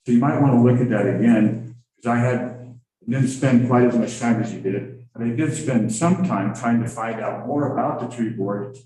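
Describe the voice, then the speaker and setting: A man's voice: medium pitch, moderate pace, warm tone. A man from the public speaks at a town board meeting, hesitant and rambling, with many ums. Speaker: resident giving public comment. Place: council meeting room. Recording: very echoey hall, distant mic.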